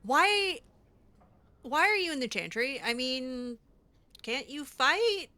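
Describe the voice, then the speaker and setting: A woman's voice: high voice, very animated, slow pace, bright, forceful tone. A woman improvising in character for a game roleplay, sardonic and hesitant, given to sighing. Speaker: gamer voicing her own character in a roleplay playthrough.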